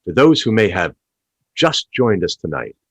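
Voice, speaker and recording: Husky, swooping late-night radio host. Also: male voice, deep voice, big pitch swings, moderate pace, no echo, dull audio, exaggerated voice. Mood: soothing, suggestive. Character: smooth-talking late-night radio sex-advice chat host.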